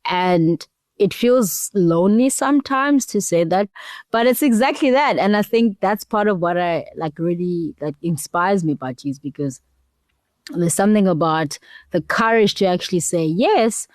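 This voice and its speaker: A woman's voice: on the low side, animated, normal speed, darkish tone, no room sound. A woman hosting a reflective, conversational interview, sharing thoughts and putting questions to a guest. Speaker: interviewer, foundation chief executive.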